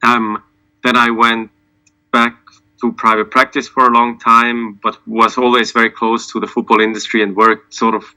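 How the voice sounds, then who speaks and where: Very bright-voiced, halting man on a panel. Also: mid-range voice, level tone, clear, resonant tone, low-quality recording. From Switzerland. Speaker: panelist, sports lawyer. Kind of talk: informal personal account on a panel.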